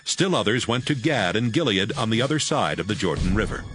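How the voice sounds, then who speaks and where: Low-voiced male scripture narrator. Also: very animated, background noise. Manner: measured, storytelling.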